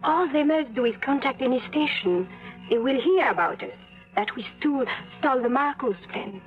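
An actress playing a guarded young woman on the run, speaking in a soft, measured, suspicious way in an old radio drama. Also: high voice, animated, soft background music. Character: wary young woman fugitive, guarded and searching. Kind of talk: 1950s radio drama acting. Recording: thin, tinny old radio broadcast.

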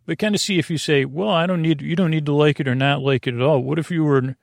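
A man hosting a bedtime podcast in a meandering, halting, self-deprecating monologue with whimsical asides. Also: on the high side, vocal fry, dry recording, studio quality. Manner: whimsical, acting out little imaginary exchanges. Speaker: podcast host.

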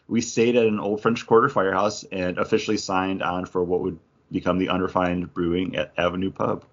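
A man with a fairly low voice talks as a podcast host in a casual review style. His delivery is conversational and full of slangy asides. The audio is slightly dull and lo-fi. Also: level tone, brisk.

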